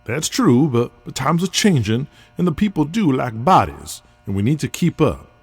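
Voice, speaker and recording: A man's voice: mid-range voice, sing-song, normal speed, husky. A man playing a brash, persuasive wrestling booker with big plans in an audio drama, talking in a folksy, salty way. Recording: music bed under the voice.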